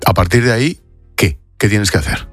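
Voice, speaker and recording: A man presenting the news in a measured, formal way and interviewing a guest live. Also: on the low side, a bit bright, breathy edge, soft background music.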